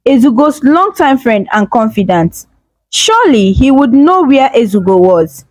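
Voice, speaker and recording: A woman's voice: on the high side, a little mellow, dry recording, rough recording. A woman narrating a scripted tale as a storyteller, in a solemn, suspenseful, dramatic manner.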